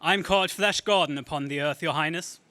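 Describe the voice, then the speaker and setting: A man's voice: on the high side, fairly quick, bright, forceful tone, no echo. A man playing a brave young Earth hero, earnest and urgent, in a 1930s radio serial style.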